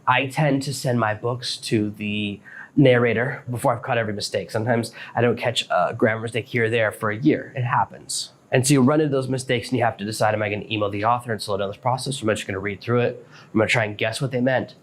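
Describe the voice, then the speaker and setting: A man's voice: medium pitch, steady tone, quick pace, slight background noise. Matter-of-fact man giving practical advice. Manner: practical, matter-of-fact, self-employed author explaining step by step. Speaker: podcast host. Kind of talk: casual advice monologue.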